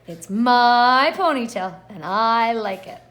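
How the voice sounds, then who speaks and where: A woman's voice: fairly high pitch, animated, slow pace, a bit bright. A woman voicing a stubborn, defiant little schoolgirl from a storybook, declaring things firmly and emphatically. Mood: proud, defiant.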